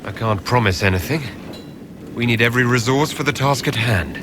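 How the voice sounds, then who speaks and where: A man's voice: mid-range voice, a little fast, fairly bright tone, a little hiss or hum, lightly acted. An actor playing a diplomatic, businesslike male leader in a fantasy video game. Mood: composed. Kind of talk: video game dialogue acting.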